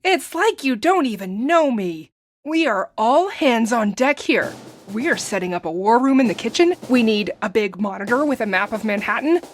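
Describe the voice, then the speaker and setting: An actress playing a bossy, over-eager romantic meddler in an audio drama comedy, emphatic and insistent. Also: female voice, on the high side, animated, moderate pace, no echo. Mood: exasperated, insistent.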